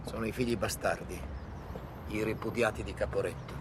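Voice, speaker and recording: A man's voice: lowish voice, fairly even intonation, a little fast. Dramatic male documentary narrator over music. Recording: music under the voice.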